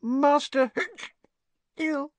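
A narrator voicing a tipsy, weepy, fiercely loyal female house elf, squeaky and whimpering in broken servant grammar. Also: steady tone, drawn-out words, no echo. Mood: tearful, slurred.

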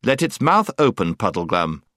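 A man's voice: lowish voice, huge pitch swings, normal speed, very noisy. A man voicing a courtly, stern prince who speaks in formal, archaic commands. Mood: stern threatening. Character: bold, courtly, commanding young male prince.

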